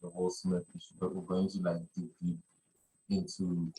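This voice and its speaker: A man's voice: low-pitched, big pitch swings, moderate pace, creaky, dark tone, rough recording. A man hosting a podcast in casual conversation, rambling and often repeating his phrases. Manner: rambling, repeats phrases, many "you know". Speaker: podcast host.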